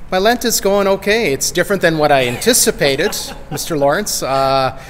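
A man's voice: fairly high pitch, animated, moderate pace, a bit bright, slight background noise. A man on the school staff speaking sincerely and reflectively about missing students. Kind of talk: casual interview answer. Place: empty school hallway. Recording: hallway reverb.

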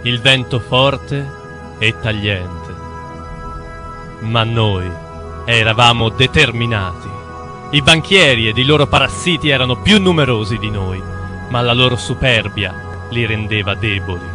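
An actor playing a brooding, heroic warrior who narrates a mock epic in slow, portentous phrases. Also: male voice, medium pitch, natural voice. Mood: grim, proud. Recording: music under the voice.

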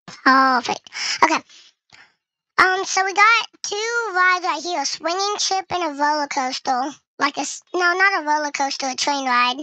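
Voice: sits high, lively intonation, a little slow, bright, forceful tone, no echo, poor audio. A young gamer giving casual gameplay commentary, with the voice run through a voice changer. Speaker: gaming video creator.

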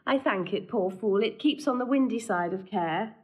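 Woman playing witty merry shakespeare noblewoman, sharp tongue, mid pitched voice. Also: moderate pace, phone-quality sound, close to an everyday voice. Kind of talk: classical Shakespeare stage acting.

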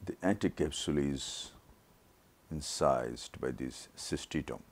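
A man narrating his own eye surgery as a teaching lesson, his voice rough from a throat infection, explaining each step in a measured way. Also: rumbling low. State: recovering from a throat infection, voice not normal. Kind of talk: slow surgical teaching narration.

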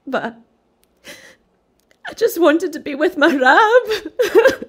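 A woman's voice: very high voice, animated, extremely slow, fairly bright tone, heavily acted. An actress playing a tender, grieving young Scottish widow and new mother in a radio drama.

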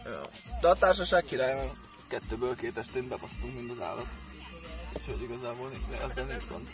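Young man chatting over loud music. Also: medium pitch. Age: 20s. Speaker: vlogger. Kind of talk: casual chat. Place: inside a parked car. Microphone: phone at arm's length.

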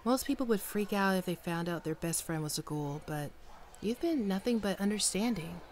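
Woman acting a lively, friendly young ghoul girl. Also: medium pitch, normal speed, slight background noise, natural voice. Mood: playful, affectionate. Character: friendly young female ghoul, listener's caring best friend. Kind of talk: ASMR roleplay, acted one-sided dialogue.

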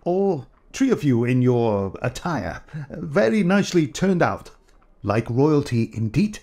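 A man's voice: mid-range voice, very animated, slow pace, dark tone, heavily acted. A man playing a fussy, polite photographer. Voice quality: polite and hesitant. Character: polite, fussy old-fashioned male photographer. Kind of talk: audio drama acting.

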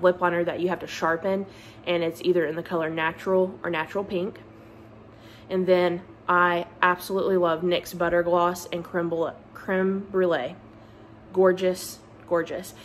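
An American woman chatting casually as a vlogger about products, with a gushing, emphatic manner. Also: fairly low pitch. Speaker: beauty and book vlogger. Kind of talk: casual chat, makeup product rundown. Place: casual vlog while at a beach trip. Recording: clear ambient background noise.

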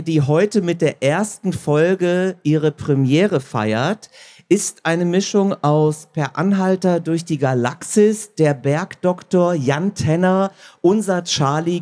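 A man's voice: fairly high pitch, lively intonation, takes it slowly, dark tone. A man moderating a live interview on stage as the host, introducing and questioning guests. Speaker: host, audiobook producer. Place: stage at a book fair.

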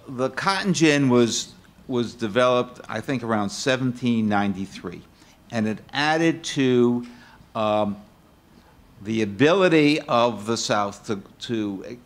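A man's voice: medium pitch, slow. An older man speaking off the cuff on a panel, explaining point by point with some hesitation. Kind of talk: extemporaneous panel discussion. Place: auditorium panel table.

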